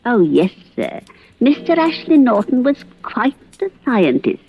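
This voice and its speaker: A woman's voice: fairly high pitch, big pitch swings, measured pace. An actress playing a sly, gossipy older village woman, deferential yet knowing, in an old radio drama. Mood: cagey, gossipy. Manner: sly, folksy, drops hints. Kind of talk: golden-age radio drama acting. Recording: muffled vintage radio recording.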